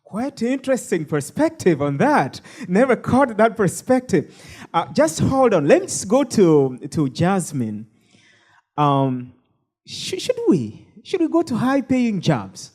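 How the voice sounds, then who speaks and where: A man's voice: high voice, huge pitch swings, slow, a little mellow, husky. A man moderating a panel discussion, hesitant and full of fillers.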